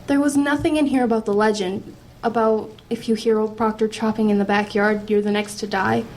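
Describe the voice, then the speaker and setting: A woman's voice: mid-range voice, fairly even intonation, fairly quick, darkish tone, a little hiss or hum, natural voice, rough recording. A woman playing an earnest, persistent and anxious teenage girl in an audio drama, probing and a little hesitant. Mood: probing, uneasy. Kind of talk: audio drama acting and first-person narration.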